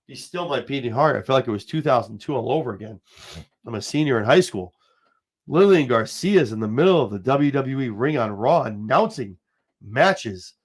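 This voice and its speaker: A man in his forties with a slight cold, hosting a casual commentary livestream in a rambling, emphatic way, talking straight to viewers. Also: mid-range voice. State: has a cold, sniffling. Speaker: show host.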